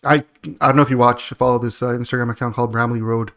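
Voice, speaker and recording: A man's voice: mid-range voice, steady tone, fast talker, fairly bright tone. A man co-hosting a podcast, chatting casually about politics in a slangy, joking way. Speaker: podcast co-host.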